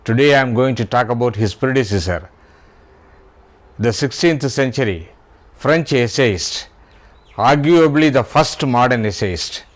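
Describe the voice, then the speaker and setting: A man with an Indian accent, hosting his own channel and talking about literature in a slow, careful way, sometimes spelling words out letter by letter. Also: mid-range voice, expressive. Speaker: YouTube host, writer.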